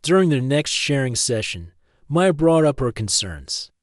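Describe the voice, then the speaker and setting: A man's voice: medium pitch, sing-song, a little slow, no echo, studio quality. A man reading a scripted story as an audiobook-style narrator.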